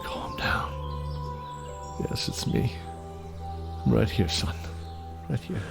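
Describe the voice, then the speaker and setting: A man voicing a gentle, fatherly older man in an audio drama, soft, soothing and hesitant. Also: takes it slowly, lightly acted. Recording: music behind the voice.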